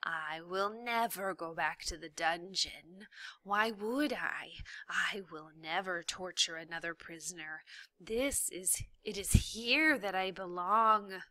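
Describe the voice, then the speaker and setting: A woman's voice: fairly low pitch, no room sound, rough recording. A woman voicing a sly whispering rat. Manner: slow, hushed, whispery. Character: sly, soft-spoken male dungeon rat.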